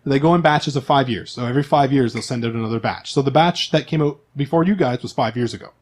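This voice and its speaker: A man's voice: medium pitch, fast. A man acting as game master, explaining the game in a casual, joking, conversational way. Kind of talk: casual tabletop role-playing narration and explanation.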